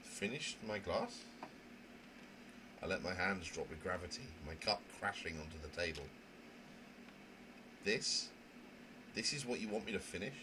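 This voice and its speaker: A man's voice: low voice, expressive, unhurried, pauses often. A man voicing a prim, indignant young narrator in a visual novel, with wordy, fussy, theatrical diction. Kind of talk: dramatic reading of a visual novel. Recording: noisy home microphone recording.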